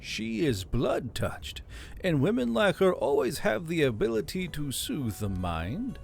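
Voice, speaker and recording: A man voicing a kindly, chatty older male elf governor with a cheerful, gently teasing manner. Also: a little high, huge pitch swings, a little slow, vocal fry, faint music behind, pitched above the performer's own voice, full character voice. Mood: chirpy.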